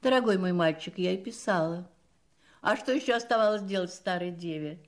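A woman's voice: fairly low pitch, a little fast, close to an everyday voice. An actress playing an elderly spinster lady in a drama, candid and gracious, with a reflective, teasing manner. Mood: wistful. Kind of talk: acted radio-play style dialogue. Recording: music playing behind the voice.

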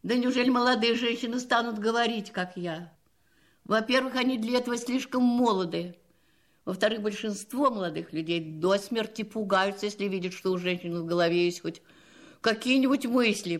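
A woman's voice: mid-range voice, normal speed, lightly acted. An actress playing an elderly spinster lady in a drama, candid and gracious, with a reflective, teasing manner. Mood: lively, gracious. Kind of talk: acted radio-play style dialogue. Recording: music playing behind the voice.

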